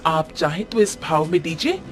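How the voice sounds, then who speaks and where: A woman's voice: low voice, sing-song. A woman playing a no-nonsense market customer in a cartoon story, arguing insistently and bargaining hard. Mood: firm. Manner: brisk, argumentative, insistent. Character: firm, haggling, no-nonsense female market customer. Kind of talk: Hindi cartoon story voice acting. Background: background music.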